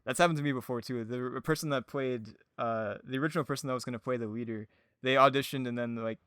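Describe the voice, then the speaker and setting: A man's voice: medium pitch, steady tone, quick pace, fairly bright tone, clear, resonant tone, no echo, clean studio sound. A man chatting casually and telling anecdotes as a podcast guest, hesitant and often giggling through his words. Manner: hesitant, giggly, frequent laughter while talking. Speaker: podcast guest, audio drama producer.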